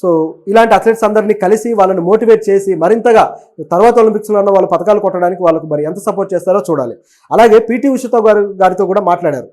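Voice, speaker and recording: A man's voice: high voice, fast talker, darkish tone. A man giving conversational news commentary as a web presenter, explaining and offering opinions, with English words mixed in. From Telugu states of southern India.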